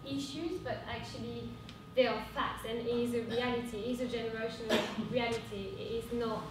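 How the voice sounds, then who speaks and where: A young woman with a French accent giving an earnest conference talk, pausing often with fillers. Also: steady tone. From France. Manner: earnest, hesitant with frequent fillers. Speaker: cooperative speaker. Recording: echoey hall from far off with rumble.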